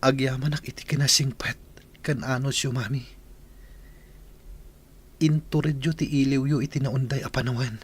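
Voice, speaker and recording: Man acting an earnest, husky-voiced grown son. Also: mid-range voice, fairly even intonation, normal speed, pauses often, close to an everyday voice. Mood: moved, earnest. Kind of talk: radio drama acting.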